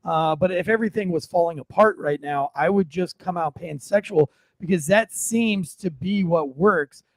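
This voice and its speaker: Gravelly, high-pitched American man hosting a show. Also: moderate pace, dry recording, low-quality recording. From United States. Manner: rambling, joking, profane. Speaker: podcast host. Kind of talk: casual livestream talk show chat.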